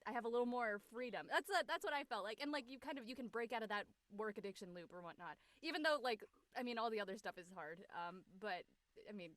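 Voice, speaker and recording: A woman with a somewhat high voice speaks in a casual, reflective way. She thinks aloud in loose conversational phrases peppered with "like". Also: lively intonation, quick pace, fairly bright tone, dry recording.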